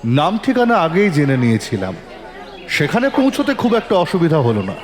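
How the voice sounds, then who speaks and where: Man acting a probing police inspector, very animated delivery. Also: on the high side, moderate pace, crowd noise. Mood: suspenseful, measured. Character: composed, probing male police inspector recounting his case. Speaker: narrator and lead actor in an audio story. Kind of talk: dramatic first-person detective story narration. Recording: long reverb, music underneath.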